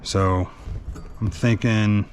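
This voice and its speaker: A man's voice: lowish voice, steady tone, extremely slow. Plainspoken man, faint noise behind. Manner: thinking aloud, plainspoken. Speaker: host and truck driver demonstrating an installation. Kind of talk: casual hands-on tutorial narration.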